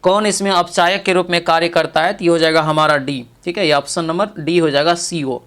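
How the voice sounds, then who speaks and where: A man's voice: a little high, fairly even intonation, a little fast, a bit bright. A man teaching an exam practice lesson, reading each question aloud and then confirming the answer.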